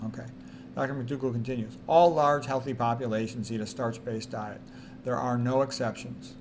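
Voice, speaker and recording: A man's voice: mid-range voice, steady tone, normal speed. Man reading and commenting, noisy recording. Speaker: presenter. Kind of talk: reading quotes aloud with informal commentary. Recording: noticeable background noise.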